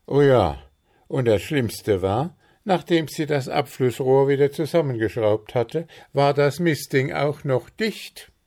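A man voicing a grumpy, proud male gnome baker, huffy and quick to take offence, in a storybook reading. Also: mid-range voice, lively intonation, darkish tone, voice pushed up. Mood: indignant. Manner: huffy, indignant, quickly agitated.

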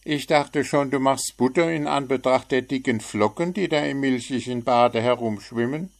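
A man's voice: mid-range voice, moderate pace, vocal fry, voice pushed up, lightly acted. A man voicing a cheeky little bird who teases with sarcastic remarks, in a storybook reading. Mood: teasing. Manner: teasing, sarcastic.

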